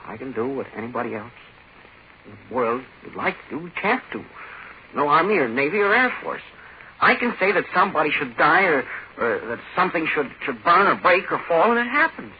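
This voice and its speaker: Menacing everyman, halting and insistent, drunk on deadly power, swooping lines, muffled vintage radio drama sound. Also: on the high side, very animated. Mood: halting, self-justifying. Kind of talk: 1940s radio horror acting. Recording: muffled, noisy old 1940s radio recording.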